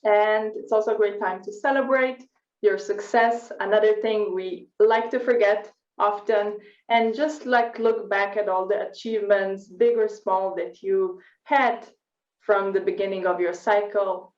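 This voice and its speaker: A woman's voice: medium pitch, steady tone, measured pace, distant mic. A woman co-hosts a live online workshop, speaking in an upbeat, flowing way that is full of fillers. Her voice comes through muffled and dull. She sounds set back from the mic in an echoey room. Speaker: workshop co-host.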